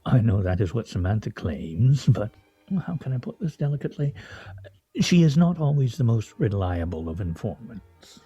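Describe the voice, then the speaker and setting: A man's voice: lowish voice, sing-song, normal speed, warm tone, husky, highs cut off, exaggerated voice. A man acting in a radio play as a pompous, needling husband with a florid, mock-formal, teasing way of talking. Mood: sly, mocking. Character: pompous, needling, theatrical husband and scrabble player.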